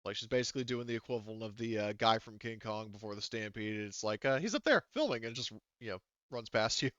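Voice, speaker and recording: A man's voice: on the low side, expressive, quick pace, fairly bright tone, dry recording, highs cut off. A man casually improvising narration as game master of a tabletop game, rambling and joking as he goes.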